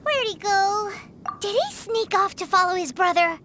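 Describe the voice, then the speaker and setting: Squeaky, very high-pitched fairy companion. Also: female voice, lively intonation, normal speed, sharp tone, a little hiss or hum, exaggerated voice. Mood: flustered. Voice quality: childlike, squeaky. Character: tiny floating fairy companion, girlish, chatty and excitable. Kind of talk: video game voice acting.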